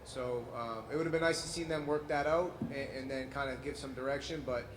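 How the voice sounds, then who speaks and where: A man's voice: mid-range voice, fairly even intonation, fairly quick. A man from New England, a fighter and promoter, answering questions at a press scrum in a casual way, swearing freely and saying "man" a lot. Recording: echoey room with loud crowd noise.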